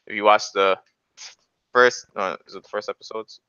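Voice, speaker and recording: A man hosts a casual podcast chat with an informal, opinionated manner. His voice is bright and clean, recorded close and dry on a slightly dull mic. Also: lowish voice, steady tone, normal speed, clean tone, no echo. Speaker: podcast host. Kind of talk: casual anime discussion.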